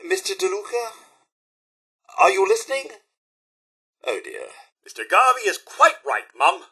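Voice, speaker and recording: Loyal robot butler, video game. British, formal and deferential, high-pitched, swooping theatrical delivery. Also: male voice, wildly swooping pitch, measured pace, creaky, bright, forceful tone, husky, exaggerated voice. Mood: polite, fussy, then approving, earnest. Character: fussy, polite, loyal male robot butler. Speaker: voice actor. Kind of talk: video game companion dialogue.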